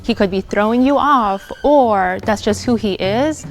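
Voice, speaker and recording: A woman in her thirties in a filmed group discussion, talking in a chatty, expressive way. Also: a little high, unhurried, faint music behind. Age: 30s. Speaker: interview participant.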